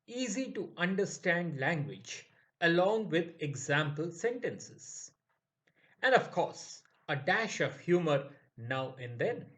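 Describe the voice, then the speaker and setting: A man narrating a scripted English idioms lesson in a slow, measured way. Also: high-pitched. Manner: slow, measured, lively intonation. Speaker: English teacher.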